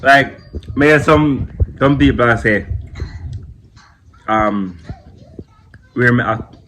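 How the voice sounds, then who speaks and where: A young man talks in a relaxed Jamaican patois, casual and easygoing, and keeps tossing in "you see me". His voice sits at an ordinary middle pitch. The recording has steady noise behind it. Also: extremely slow. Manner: laid-back patois talk with repeated "you see me". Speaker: vlogger. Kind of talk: casual chat.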